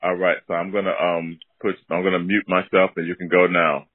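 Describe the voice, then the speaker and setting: A man with a low voice talks casually through a narrow, phone-like line. The sound is dry, and he gives simple directions as if setting up a recording. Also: little pitch movement, brisk, no echo. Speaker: call recipient guiding the recording. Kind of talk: casual instructions on a call.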